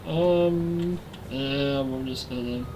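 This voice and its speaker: A man's voice: medium pitch, drawn-out words, a little hiss or hum. A man in his forties with a nasal voice, chatting casually with viewers on a live stream while playing a game on a headset mic. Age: 40s. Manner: relaxed, talks to chat while playing.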